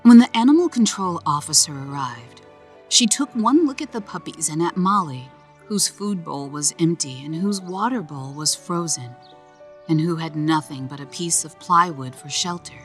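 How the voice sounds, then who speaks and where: Female narrator for a kids' comic read-aloud: slightly low voice, gentle and expressive, faint music underneath. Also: normal speed. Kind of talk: scripted children's story narration.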